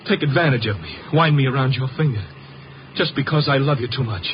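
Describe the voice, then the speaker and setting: A man's voice: medium pitch, brisk, lightly acted. A man acting an unstable, lovesick young man in a 1950s radio drama, melodramatic and intense, repeating his phrases. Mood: bitter, paranoid. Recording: muffled, noisy old radio recording.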